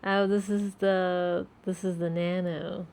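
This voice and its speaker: A woman's voice: on the low side, level tone, slow pace, darkish tone, slight background noise. A woman chatting casually and spontaneously as she tries out a product. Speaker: host's wife, guest trying the product. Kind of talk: casual chat, spontaneous reactions.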